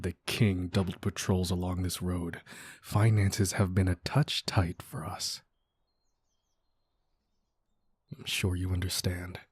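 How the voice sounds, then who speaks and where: A man's voice: low-pitched, a little mellow, breathy edge. A man playing a cocky but considerate bandit leader in an audio roleplay, talking to the listener in a casual, folksy, wryly mock-courteous way. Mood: sarcastic.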